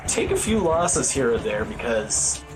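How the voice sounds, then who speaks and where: A man's voice: medium pitch, wildly swooping pitch, slow, rough recording. A man giving casual live speedrun commentary, explaining game mechanics in a hesitant, rambling way. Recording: game music behind the voice. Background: video game music.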